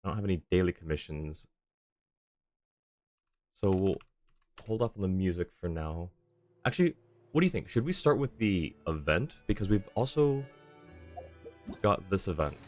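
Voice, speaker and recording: A man with a low-pitched voice talks casually as he hosts a gaming livestream. He is friendly and quick to apologise, and music plays behind him. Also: lively intonation, a little mellow. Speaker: livestream host, gamer. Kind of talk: casual game stream chat, reading chat and game dialogue aloud.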